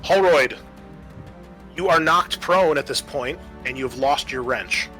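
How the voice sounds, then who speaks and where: A man's voice: fairly high pitch, unhurried, sharp tone, soft background music. A man acting as game master in a tabletop roleplaying game, explaining rules and turns to players.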